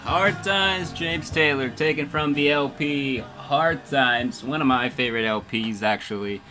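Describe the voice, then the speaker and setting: A man's voice: mid-range voice, unhurried, music in the background. A man hosting a casual livestream radio show as DJ, playful and rambling, with emphatic announcing.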